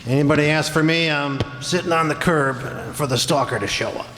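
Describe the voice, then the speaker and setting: An actor playing a jumpy, belligerent beat cop with tough, slangy grumbling in an old-time radio drama. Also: male voice, fairly high pitch, a little fast, natural voice. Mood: restless, belligerent. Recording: noisy reverberant hall stage performance.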